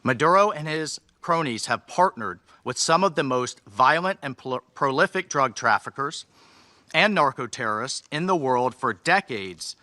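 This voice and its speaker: A man delivering a formal prepared speech at a measured pace, reading from a text. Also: mid-range voice, animated, bright, forceful tone, no echo. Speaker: official giving a speech.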